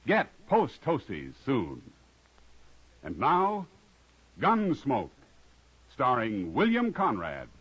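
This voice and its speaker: Very animated American radio announcer man. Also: medium pitch, slow pace, long pauses. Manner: emphatic, punchy. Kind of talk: 1950s radio announcing, sponsor pitch. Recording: dull lo-fi old radio, faint music.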